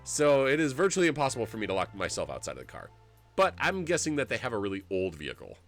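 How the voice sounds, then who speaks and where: A man in his forties streams a story game, reading the narration aloud and rambling between lines. He jokes and laughs a lot, and his pitch swings widely. Faint game music sits behind him. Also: quick pace. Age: 40s. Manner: animated and jokey, laughs often, rambles.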